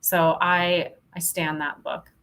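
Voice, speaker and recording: A woman's voice: on the low side, little pitch movement, slow pace, fairly bright tone, clean tone. A woman speaking as a podcast guest, telling work stories in a candid, emphatic, informal way. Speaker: podcast guest, sales consultant. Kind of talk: conversational interview storytelling.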